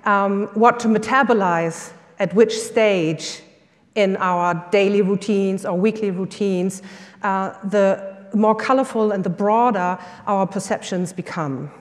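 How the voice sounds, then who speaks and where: A woman's voice: medium pitch, a little slow, big-room sound. A middle-aged woman with a German accent gives a conference talk in English, enthusiastic, with many fillers and restarts. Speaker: summit speaker, lecturer and coach.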